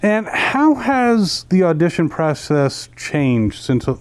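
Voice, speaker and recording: A man's voice: on the high side, very animated, slow pace, smooth. A middle-aged man asking conversational interview questions into a handheld microphone through a face mask. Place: indoor lounge at a convention hotel. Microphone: handheld interview microphone, speaking through a face mask.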